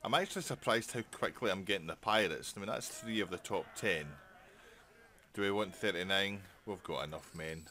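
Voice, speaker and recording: Deep-voiced man gaming. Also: normal speed, sharp tone, a little hiss or hum. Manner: chatty, thinking aloud while playing. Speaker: gaming channel host. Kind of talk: casual let's play commentary.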